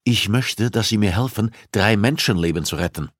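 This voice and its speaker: A male narrator plays a cold male criminal profiler in an audiobook. He speaks with commanding, deliberate insistence at a raised pitch. The sound is dry and free of room. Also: dry recording, lightly acted. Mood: cool, insistent. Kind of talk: audiobook dialogue acting.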